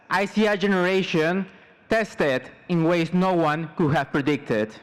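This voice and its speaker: A young man in his twenties from Mexico, giving a formal prepared commencement speech as a student, in measured short phrases. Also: a little high, poor audio. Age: 20s. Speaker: student salutatorian.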